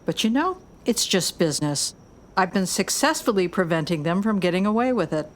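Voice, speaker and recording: Actress as low-voiced, blunt American female record boss. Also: expressive, fairly quick, lightly acted. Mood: defiant, blunt. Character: tough, blunt female record label boss and worried mother. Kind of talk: audio drama dialogue.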